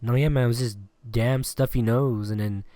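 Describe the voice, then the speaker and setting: A man's voice: mid-range voice, fairly even intonation, normal speed, warm tone, no echo. A man hosting a casual sports podcast, rambling and laughing often, with a stuffy nose and a lingering cough. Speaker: podcast host. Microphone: remote call recording.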